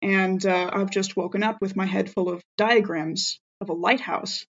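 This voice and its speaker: A woman's voice: mid-range voice, fairly even intonation, normal speed, muffled recording. A woman playing a tabletop game on a podcast, talking in the first person as her character.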